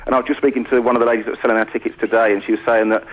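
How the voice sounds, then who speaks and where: A man's voice: medium pitch, little pitch movement, rapid-fire, highs cut off. A man chatting enthusiastically as a guest in a radio interview. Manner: enthusiastic, gushing. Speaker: pantomime performer and guest. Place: radio studio.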